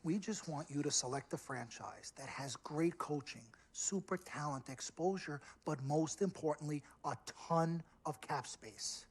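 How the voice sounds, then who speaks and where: An actor playing a pushy, fast-talking male sports agent in a video game, slick and emphatic in his delivery. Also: fairly high pitch, slightly rough. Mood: coaxing. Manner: slick, emphatic, repeats words for effect. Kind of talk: cinematic sports game dialogue.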